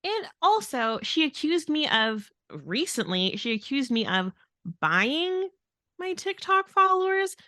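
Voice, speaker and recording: Bright, exasperated woman podcaster. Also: sits high, sing-song, measured pace, no echo. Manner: exasperated, blunt, emphatic. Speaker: podcast host. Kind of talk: casual venting podcast chat.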